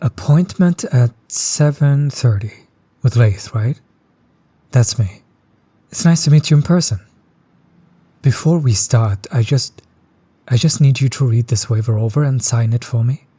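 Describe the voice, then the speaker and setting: A man playing a chill, friendly tattoo artist in an intimate audio roleplay, soft-spoken, easygoing and reassuring. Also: medium pitch, a little slow, pauses often, husky, no room sound. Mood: friendly, casual. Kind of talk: intimate one-sided audio roleplay, casual chat.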